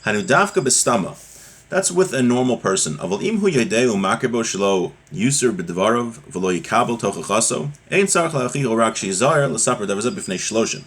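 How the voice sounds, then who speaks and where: A man's voice: medium pitch, animated, very fast, fairly bright tone, husky. A man giving a religious lesson, reading from a text and explaining it conversationally with rhetorical questions. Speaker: religious teacher.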